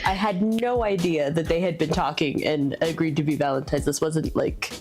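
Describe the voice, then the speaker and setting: A woman with a somewhat low voice tells a story in a relaxed chat. She is giggly and animated, with faint music in the background. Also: normal speed. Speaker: streamer's wife, guest.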